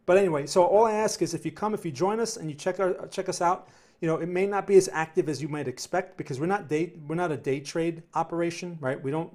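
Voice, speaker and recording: A man's voice: a little high, darkish tone. A man with a New Jersey accent hosting a live trading stream, answering viewer questions in a rambling way, often repeating words and tagging sentences with "right?". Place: home studio near New York City.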